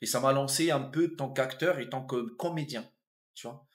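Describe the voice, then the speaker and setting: A man in his fifties from Tunisia with a Tunisian accent, a comedian and actor telling his life story in a relaxed interview, thoughtful, with frequent restarts and fillers. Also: mid-range voice, moderate pace. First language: Arabic. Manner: reflective, repeats and restarts words, many fillers.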